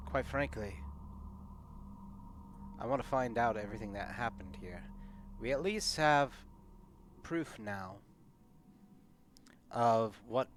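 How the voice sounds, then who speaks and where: A man playing a stern, proud corporate scientist in a tabletop game, speaking formally and deliberately over background noise. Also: mid-range voice, close to an everyday voice. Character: stern, proud male corporate biochemical engineer.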